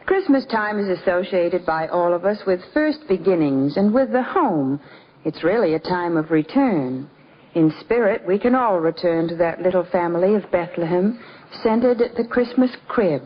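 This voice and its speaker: A woman's voice: on the low side, animated, moderate pace. A woman hosting a 1950s radio program with formal, scripted delivery. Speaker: radio host. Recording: muffled, hissy 1950s radio recording.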